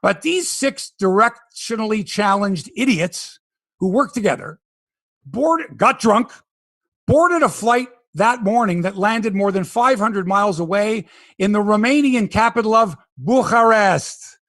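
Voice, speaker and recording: A man's voice: high voice, lively intonation, measured pace, fairly bright tone, no room sound. A middle-aged Canadian man hosting a sports podcast, opinionated and sarcastic in casual talk. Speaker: podcast host.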